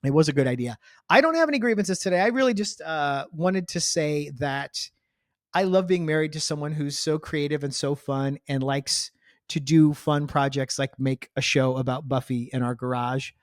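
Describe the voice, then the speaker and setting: A man with a fairly high voice chats as a podcast co-host. He rambles and jokes in a loose, teasing way, recorded dry with very little room sound. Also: moderate pace, dry recording. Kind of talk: casual banter with co-host spouse. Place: home garage studio.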